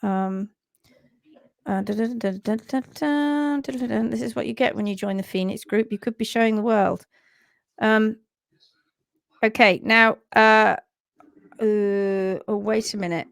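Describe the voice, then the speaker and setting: A woman hosting a livestream about horse hoof care, chatty and teaching. She has a sore throat and a croaky voice, and she mutters to herself while she fumbles with her screen. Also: mid-range voice, dry recording, studio quality. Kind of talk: casual livestream talk and teaching. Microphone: computer mic on a video call.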